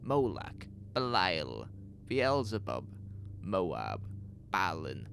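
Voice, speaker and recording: A man's voice: low-pitched, huge pitch swings, extremely slow, creaky, a bit bright, slight background noise, heavily acted. A man playing a frightened, agitated survivor in a horror audio drama, speaking with urgent emphasis. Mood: earnest. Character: frightened, agitated man who escaped a takeover. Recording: music behind the voice.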